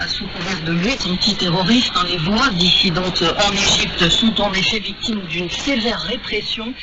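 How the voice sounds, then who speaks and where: A woman's voice: medium pitch, normal speed. Female news anchor, French accent, mid-range voice, muffled shortwave reception buried in noise. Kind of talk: news bulletin reading. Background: heavy radio static.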